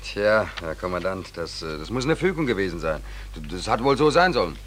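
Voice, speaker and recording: A noisy old radio-play recording carries a low-pitched drifter. He is easygoing and wry, politely playing the innocent, with hesitant, repeated words. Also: sing-song, a little fast, a bit bright. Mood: naive candour. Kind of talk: 1940s German radio play acting.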